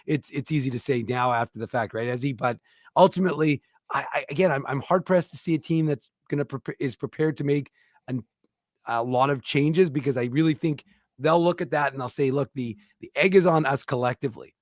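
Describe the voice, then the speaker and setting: A man's voice: medium pitch, a little fast, no room sound, studio quality. A man co-hosting a sports talk radio show, breaking down a playoff series in a rambling way and often restarting his sentences. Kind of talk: casual sports talk analysis.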